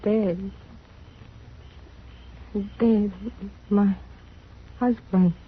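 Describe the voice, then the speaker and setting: An actress playing a fierce, grieving widow in an old radio western, speaking in halting, broken phrases. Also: female voice, mid-range voice, steady tone. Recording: noisy, muffled old radio recording.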